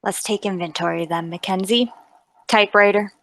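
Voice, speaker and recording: A woman playing a brisk, authoritative, businesslike Scotland Yard police inspector in a mystery radio play table read. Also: mid-range voice, steady tone, vocal fry, fairly bright tone.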